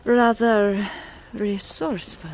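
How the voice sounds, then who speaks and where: A woman's voice: mid-range voice, fairly even intonation, slow, a little mellow, slight background noise. An actress playing a tense, proud mother who is uneasy about her son, in an audio drama. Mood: guarded, uneasy. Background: background music.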